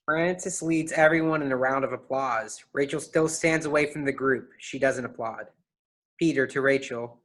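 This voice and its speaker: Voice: medium pitch, moderate pace, a bit bright. A narrator reading scripted stage directions during a staged reading of a play.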